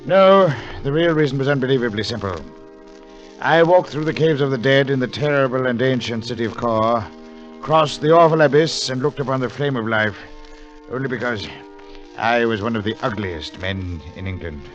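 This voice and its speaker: An actor playing a middle-aged English professor of archaeology in a 1940s radio drama, bookish and eager, with a scholarly, avuncular manner. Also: male voice, mid-range voice, normal speed, lightly acted. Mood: reflective, ominous. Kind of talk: 1940s radio drama acting, first-person narration and dialogue. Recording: muffled vintage radio recording, music behind.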